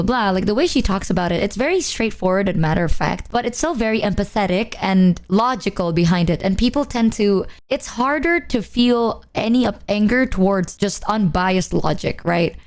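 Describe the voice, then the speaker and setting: A young woman streamer with a hoarse, worn-out voice, chatting casually to her viewers in a flat, nonchalant way; English is not her first language. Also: medium pitch. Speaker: VTuber streamer.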